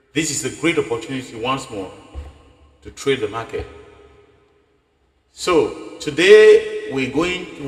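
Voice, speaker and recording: Male stream host, English as a later language after his dialect, mid pitch, rambling with restarts, echoey room with long reverb. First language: a local dialect; English and French are later languages. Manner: rambling, repeats himself, stops and restarts. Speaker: forex trading channel host. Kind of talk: live-stream trading commentary.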